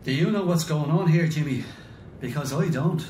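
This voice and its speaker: A man plays an Irish detective's sidekick in a radio play, nervy and full of doubt. His voice sits rather high for a man, questioning and excitable, over clear rumbling noise. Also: normal speed, lightly acted. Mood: puzzled. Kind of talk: radio drama acting. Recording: noisy rumble, roomy echo.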